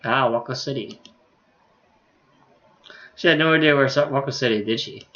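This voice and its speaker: Male game streamer, mid pitch, casual read-aloud of story dialogue, muffled lo-fi sound. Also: normal speed, bright, forceful tone. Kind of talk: reading visual novel dialogue aloud, casual commentary.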